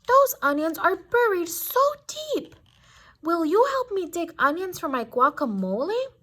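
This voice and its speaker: Female reader as little red hen, storybook animal, plucky, exclamatory, very animated, high-pitched. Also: measured pace, heavily acted. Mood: excited, pleading. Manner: exclamatory, clucking.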